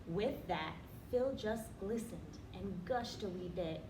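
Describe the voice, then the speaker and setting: A woman reading aloud as a children's storyteller, with expressive, deliberate picture-book phrasing. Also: on the low side. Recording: roomy, distant, noisy recording.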